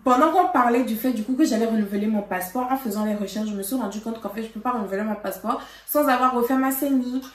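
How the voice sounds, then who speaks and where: Woman vlogger, animated storytime chat, higher pitch, mic at a distance. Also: fast talker, hardly any pauses. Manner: animated, laughing, lots of fillers. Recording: roomy, from a distance.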